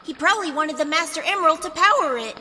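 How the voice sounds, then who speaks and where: A woman voicing a small, excitable young animal sidekick in a cartoon comic dub. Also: very high voice, fast, slight background noise, heavily acted. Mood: explaining. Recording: reverberant, faint music behind.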